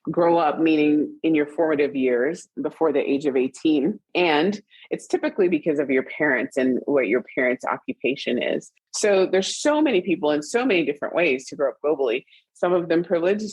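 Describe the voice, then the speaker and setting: A woman with a standard US accent, a guest in an interview, talking in an animated, chatty way and laughing often. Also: low-pitched, brisk, husky, no echo, low-quality recording. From Trinidad and Tobago and Costa Rica, raised internationally. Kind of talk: conversational interview.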